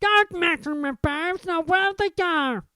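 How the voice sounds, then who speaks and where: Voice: very high voice, measured pace, pushed and bright, no echo, studio quality, voice pushed up, exaggerated voice. A reader voicing a grumpy old male caretaker, urgent and wheedling.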